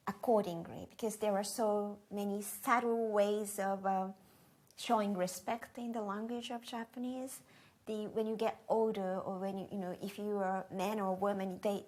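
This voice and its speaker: A woman explains her point in a thoughtful, hesitant way. Her voice sits at a middling pitch. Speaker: interviewee. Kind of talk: explanatory reflection.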